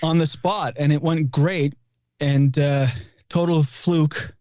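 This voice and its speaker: A man's voice: fairly high pitch, steady tone, slow pace, a little mellow, dry recording, lo-fi sound. A man in his thirties from New Brunswick, a French native speaker and comedian, chatting loosely in an interview with plenty of swearing and restarts. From New Brunswick, Canada. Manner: loose storytelling, swears freely, many restarts. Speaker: guest comedian. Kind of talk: casual interview chat.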